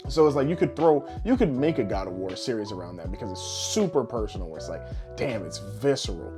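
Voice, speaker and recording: A man's voice: on the low side, lively intonation, brisk, over music. A man co-hosting a podcast, chatting casually and informally, often explaining things through examples. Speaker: podcast co-host.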